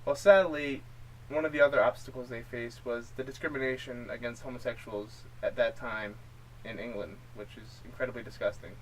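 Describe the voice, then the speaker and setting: A man's voice: mid-range voice, fairly even intonation, brisk, fairly bright tone. A man co-hosting a film review show, chatting casually and explaining his opinions. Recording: distant mic, faint room noise.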